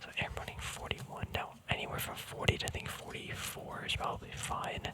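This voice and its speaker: A man whispers throughout, with no real voice behind the breath. He chats in a relaxed, informal ASMR manner, rambling softly as he handles trading cards. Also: fairly quick. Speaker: ASMR card game vlogger.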